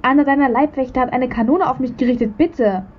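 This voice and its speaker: Radio-play accomplice female actor, nervous, urgent, higher register, slightly dull recording. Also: brisk, a little hiss or hum, close to an everyday voice. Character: hurried, nervous female accomplice, a fruit seller. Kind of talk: radio drama acting.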